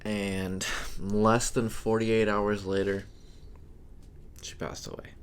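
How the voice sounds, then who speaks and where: A young man, a podcast co-host, talking in a slow, halting and reflective way. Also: fairly low pitch, flat delivery, clear, resonant tone. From Hawaii. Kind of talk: casual podcast chat.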